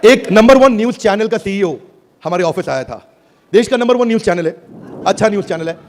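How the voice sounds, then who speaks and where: A man giving a high-energy stage presentation at a product launch, theatrical and calling out to the audience for replies, swinging between booming and hushed delivery. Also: animated, a little fast, background noise. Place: stage before a live audience.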